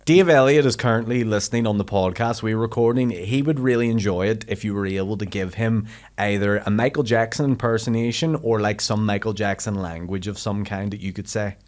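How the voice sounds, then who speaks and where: A man's voice: lowish voice, fairly quick, darkish tone. A man in his mid-thirties hosting a podcast, bantering loosely and jokily and swearing freely. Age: 30s. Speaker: podcast host. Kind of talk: casual chat.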